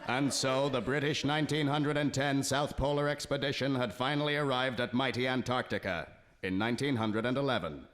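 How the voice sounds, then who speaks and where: A male narrator links the scenes with dry, deadpan comic narration. His pitch is mid-range. The studio has a long reverb, and clear hiss runs beneath. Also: brisk.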